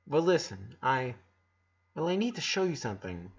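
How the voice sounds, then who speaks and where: A man acts out a kind, eager young boy of eleven in a storybook reading. The voice is husky, with an earnest, reassuring and slightly hesitant manner. The recording sounds a bit dull. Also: mid-range voice, very animated, normal speed. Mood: nervous, hesitant.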